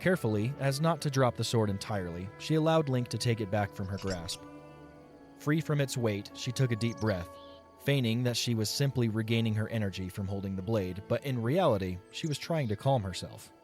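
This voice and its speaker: A man's voice: fairly low pitch, expressive, fairly quick. A man reading scripted story narration for a fantasy audio drama. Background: soft music.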